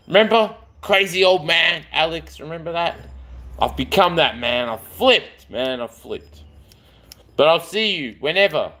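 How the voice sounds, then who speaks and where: A man's voice: a little high, pushed and bright. A man, chatting in a rambling, affectionate way on a live stream. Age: 40s.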